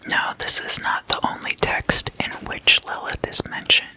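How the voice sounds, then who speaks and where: ASMR host, breathy whisper, very bright and sibilant, hushed explanatory delivery, unhurried.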